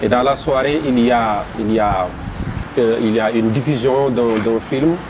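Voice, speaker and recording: Man, Senegalese French accent, interview guest, halting, repeats words, mid pitch, noisy background. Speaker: festival promoter. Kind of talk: interview answers.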